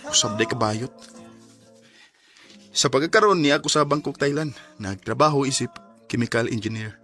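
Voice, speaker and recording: A man's voice: medium pitch, fairly bright tone, natural voice. A man acting a lovestruck young man, shocked and indignant, in a Filipino radio drama with a Cebuano accent and emotive delivery. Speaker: lead actor and narrator of letter drama.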